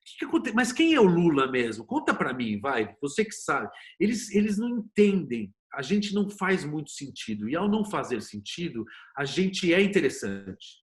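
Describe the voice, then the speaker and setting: A man's voice: a little high, very animated, moderate pace, hardly any pauses. A man with a Brazilian Portuguese accent talking casually and emphatically as a guest in an interview, in informal language. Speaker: interview guest, TV producer and screenwriter.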